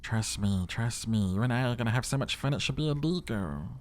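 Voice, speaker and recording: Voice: mid-range voice, animated, a little fast, slight background noise, voice pushed up, close to an everyday voice. A man voicing a talking necktie, an eager, pushy, party-loving male buddy who coaxes. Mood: coaxing, gleeful. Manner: coaxing, eager.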